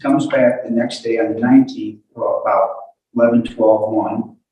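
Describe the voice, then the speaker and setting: A man questioning a witness as a lawyer in court, halting and repeating words as he forms his questions. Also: mid-range voice, fairly even intonation, warm tone. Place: indoor courtroom. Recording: echoey courtroom, distant microphone.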